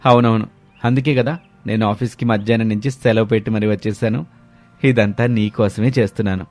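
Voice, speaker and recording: A man's voice: fairly low pitch, steady tone, brisk, faint music behind, natural voice. A man playing a caring, placating young Telugu husband and dutiful son. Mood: affectionate. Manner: gentle, placating.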